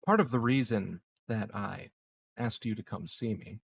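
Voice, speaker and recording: A man playing a measured, calculating and discreet corporate executive in a roleplaying game, speaking formally with long deliberate pauses. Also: fairly low pitch, gravelly, husky. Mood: measured, scheming. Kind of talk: improvised in-character roleplay dialogue.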